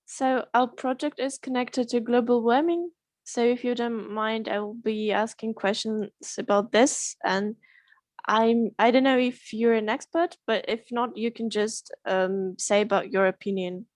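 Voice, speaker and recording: A woman's voice: on the high side, steady tone, measured pace, no echo. A young woman from Russia asking questions on a video call, polite and hesitant, with frequent ums. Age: young adult.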